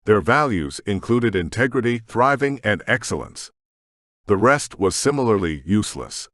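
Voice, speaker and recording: Male narrator, low and expressive, steady storytelling, dry close-miked sound. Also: moderate pace, no echo. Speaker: audiobook narrator. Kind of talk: scripted narration.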